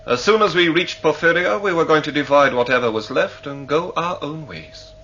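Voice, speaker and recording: A man's voice: on the high side, moderate pace, pushed and bright. An actor playing a penniless, defensive male passenger in a sci-fi radio drama, earnest and indignant. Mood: bitter, confessing. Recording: muffled, hissy old radio recording with hum.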